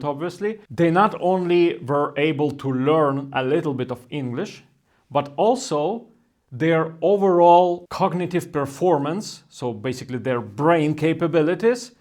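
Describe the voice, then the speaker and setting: A man in his thirties, hosting a language-learning channel, talking through research in a steady, thoughtful way with non-native phrasing. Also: fairly high pitch. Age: 30s. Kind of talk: explainer talk to camera.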